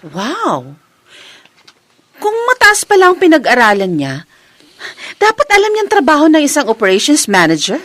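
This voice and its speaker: A woman's voice: high-pitched, huge pitch swings, moderate pace, long pauses, a bit bright, dry recording, full character voice. A woman acting a resentful, scheming office employee in a drama, speaking with a Filipino accent. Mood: deferential, probing. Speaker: actor in a short drama. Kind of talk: acted office drama dialogue in Tagalog and English.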